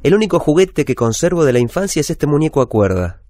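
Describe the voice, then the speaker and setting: A man's voice: fairly high pitch, sing-song, fast talker, warm tone, dry recording, clean studio sound. An actor playing a wistful forty-year-old bookshop owner with a Rioplatense Argentine accent, narrating his routine life in a reflective radio drama. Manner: reflective, storytelling, self-correcting. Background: music bed.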